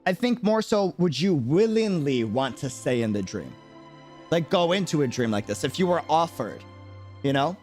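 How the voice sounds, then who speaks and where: Animated, musing male game streamer. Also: on the high side. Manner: musing, halting, often seeking agreement. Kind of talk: casual live stream commentary. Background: game music.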